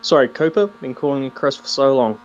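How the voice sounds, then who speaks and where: A man with a New Zealand accent co-commentating an online car race, joking and getting excited at the action. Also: medium pitch, fairly quick, a little hiss or hum. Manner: jokey, excitable on track action. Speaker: sim racing co-commentator. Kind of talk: casual live race commentary. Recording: dull lo-fi mic.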